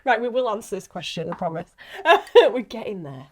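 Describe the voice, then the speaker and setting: A woman in a casual podcast chat, talking in an excitable, giggly, rambling way as she interviews a fellow actress. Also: medium pitch, moderate pace. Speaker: actress interviewing a castmate on a behind-the-scenes podcast.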